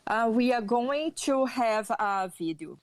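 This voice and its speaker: A middle-aged woman with a Brazilian Portuguese accent, a podcast interview guest who speaks in a measured, hesitant way with fillers. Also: fairly high pitch, lively intonation, no echo. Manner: measured, hesitant with fillers, occasional light laugh.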